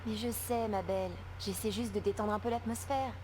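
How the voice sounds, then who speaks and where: Actress as a teasing, reassuring young gal in a game, medium pitch, engine-like noise behind. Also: female voice, steady tone, fairly quick, close to an everyday voice. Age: young adult. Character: playful, supportive young woman, explorer's close friend. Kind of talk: dubbed video game dialogue. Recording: clear vehicle-like background noise.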